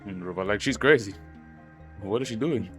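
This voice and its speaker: A man's voice: fairly low pitch, moderate pace, a bit bright. A man giving casual playthrough commentary on a game story, musing aloud and repeating himself as he reacts. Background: game music under the voice.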